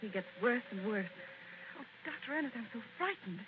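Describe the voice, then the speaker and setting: An actress playing a frightened, anxious wife with a trembling voice in an old radio drama. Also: female voice, on the high side, lively intonation, brisk, background noise, lightly acted. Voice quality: fearful and trembling. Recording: tinny old radio broadcast.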